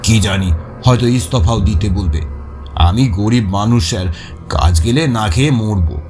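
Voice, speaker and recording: A man's voice: on the low side, a little slow, natural voice. An actor playing a poor, anxious railway signalman who speaks deferentially and confidingly in an audio horror story. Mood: worried, pleading. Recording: music behind the voice.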